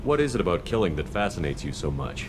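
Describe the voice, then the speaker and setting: Brisk, low-voiced male starship commander. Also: sing-song, noisy background. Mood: resolute. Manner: direct, businesslike, terse. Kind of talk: video game voice acting.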